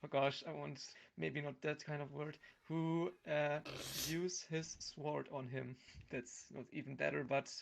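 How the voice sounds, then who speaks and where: A woman's voice: low voice, fairly even intonation, measured pace, vocal fry, hardly any pauses, a little hiss or hum. A woman in a casual improvised comic role-play, speaking in a mild, hesitant way.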